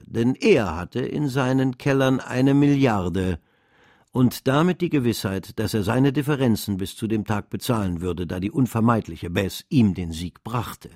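A man narrating a literary radio drama in a measured, dramatic storytelling manner. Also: medium pitch, darkish tone, dry recording, clean studio sound. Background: music.

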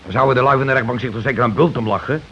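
An actor playing a domineering, self-justifying working-class husband in a radio play, talking in an insistent, colloquial, repetitive way. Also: male voice, medium pitch, racing, close to an everyday voice. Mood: indignant. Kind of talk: Dutch radio play acting. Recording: dull, noisy old radio recording.

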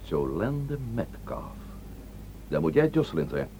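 An actor playing an unflappable police inspector in a radio drama, asking measured, dryly teasing questions. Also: male voice, rumbling low, very animated, mellow, a little hiss or hum, exaggerated voice. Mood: dry, probing.

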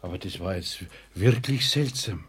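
A man playing a jovial, teasing friend with a husky voice and a Bavarian accent in a 1970s radio play. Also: deep voice, lively intonation, normal speed, exaggerated voice. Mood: amused.

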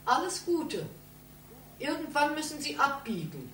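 An actress in a radio play playing a self-important library information clerk who talks in a matter-of-fact, bureaucratic way. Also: female voice, high-pitched, sing-song, measured pace, fairly bright tone, slight background noise, exaggerated voice, low-quality recording. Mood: brisk, dismissive. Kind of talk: German radio play acting.

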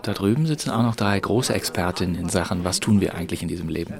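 An actor playing a gossipy, mocking bar patron in a naturalistic radio drama, with a quick, dry way of talking. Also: male voice, sits low, creaky. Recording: busy crowd chatter around voices.

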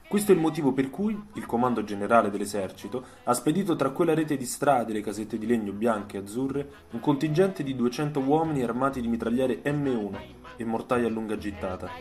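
Guy narrating a radio story, medium pitch, dramatic pauses, bit of music underneath. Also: expressive, darkish tone. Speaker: storyteller and author. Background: soundtrack music.

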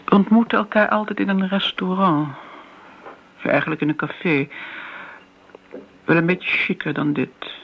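An actress plays an elderly woman with a gravelly creak in her voice, heard through a muffled, narrow old radio recording. She is a wistful, self-justifying family friend who rambles and repeats herself in a flustered way, in the style of a radio drama. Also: lowish voice, moderate pace, long pauses, a little hiss or hum, close to an everyday voice. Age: older. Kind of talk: Dutch radio play acting. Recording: dull, band-limited old radio recording.

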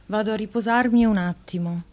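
A woman's voice: mid-range voice, brisk, darkish tone, natural voice. A woman playing Clara, a chatty, forgetful woman who frets and gets flustered easily, in a radio play. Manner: chatty and fretful, exclaims "santo cielo". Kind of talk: radio drama acting.

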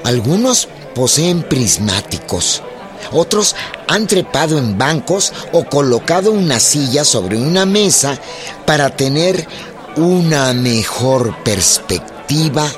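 Slow, solemn male narrator over music. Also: fairly high pitch, sing-song. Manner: solemn, literary storytelling. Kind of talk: scripted narration of historical radio drama. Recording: music behind the voice.

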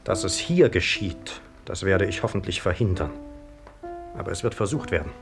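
An actor playing a police sergeant who questions people insistently in a 1950s radio mystery. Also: male voice, low voice, animated, moderate pace, vocal fry. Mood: grave, probing. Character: probing police sergeant investigating a murder. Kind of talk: 1950s German-language radio mystery acting. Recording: 1950s radio recording with music.

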